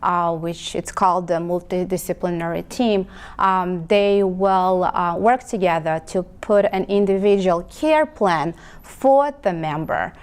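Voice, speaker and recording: A woman from Moldova, a native Romanian speaker, answers interview questions in a fairly low, smooth voice. She talks haltingly, filling gaps with ums and restarting sentences. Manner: hesitant, many ums and restarts. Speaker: home care company owner.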